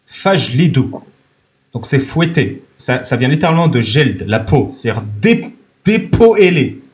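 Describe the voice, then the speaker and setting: A man's voice: mid-range voice, sing-song, measured pace. A man hosting a heated live-stream debate, blunt and insistent.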